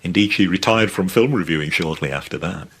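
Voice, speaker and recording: A man narrates a documentary as its presenter. His voice sits very deep and has a husky, roughened texture. The delivery is scripted. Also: brisk.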